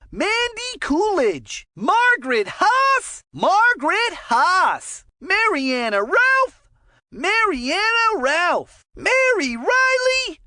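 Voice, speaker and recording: An excitable little boy calling a cartoon hockey game. The voice is extremely high and bright, and very slow, stretching out each exclaimed call like a proud young announcer. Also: dry recording, clean studio sound, heavily acted. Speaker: sports game commentator. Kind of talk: video game announcer calling out player names.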